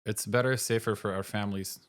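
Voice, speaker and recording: A man from Syria, middle-aged, speaks in a very low register. He gives short, blunt answers to the interviewer's questions in a relaxed chat. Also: brisk. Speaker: cafe owner, guest. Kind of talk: casual interview talk.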